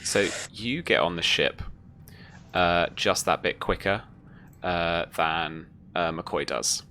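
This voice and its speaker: A game master narrating a tabletop roleplaying session in a casual, improvised, halting way. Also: deep voice, sharp tone, a little hiss or hum.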